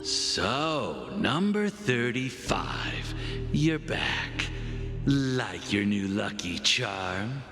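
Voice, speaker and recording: An actor playing a cruel, contemptuous slave master in a video game, menacing and domineering. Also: male voice, lowish voice, very animated, extremely slow, vocal fry, heavily acted. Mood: menacing, scornful. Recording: long echoing reverb, music behind.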